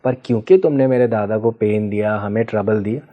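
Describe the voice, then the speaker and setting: A man's voice: lowish voice, fairly quick, mellow. A man as the interview guest, patiently explaining ideas and often checking in with the listener, mixing in English terms. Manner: patient teacherly explaining with frequent checking questions; mixes Hindi with English terms. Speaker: guest expert on ancestral healing.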